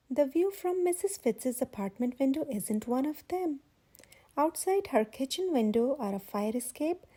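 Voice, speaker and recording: A woman reading a children's picture book aloud in a measured, expressive storytelling manner. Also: high voice. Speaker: children's story channel host and reader. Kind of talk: scripted read-aloud narration of a picture book.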